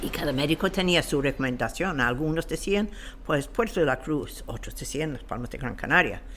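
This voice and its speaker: A man explaining history in an interview in a hesitant, halting way, often searching for words. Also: a little high, lively intonation, fairly bright tone, a little hiss or hum.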